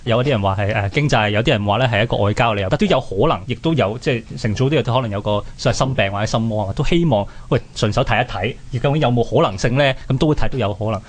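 A man's voice: medium pitch, very fast, few pauses. A man with a Hong Kong Cantonese accent giving conversational history commentary, explaining by asking and answering his own questions. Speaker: co-host of a history programme.

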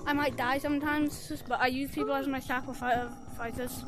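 Boastful young woman gamer, high voice. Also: little pitch movement, normal speed, music in the background. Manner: boastful, joking. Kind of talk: casual gaming chat.

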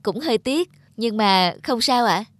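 Gentle young friend, higher-pitched. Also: female voice, expressive, measured pace, no echo, studio quality. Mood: reassuring. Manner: gentle, reassuring, earnest. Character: kind, selfless young woman, earnest caring friend. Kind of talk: cartoon drama dialogue.

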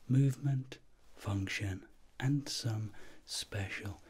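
A middle-aged man speaking in a breathy whisper, slow and reassuring, in an ASMR roleplay as a doctor examining a patient. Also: lowish voice. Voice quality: breathy whisper, soft-spoken. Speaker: ASMR performer acting as a doctor.

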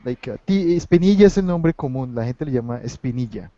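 A man's voice: medium pitch, lively intonation, normal speed, dark tone, dry recording. A man teaching a Spanish class over a video call, running a quiz game and mixing Spanish with English as he encourages his students. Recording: dull lo-fi video call audio.

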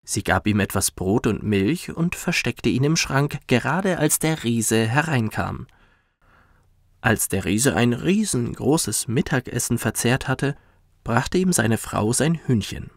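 A man gently and expressively narrating a scripted bedtime story for children, as a professional reader. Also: mid-range voice, animated, slow, no echo, studio quality.

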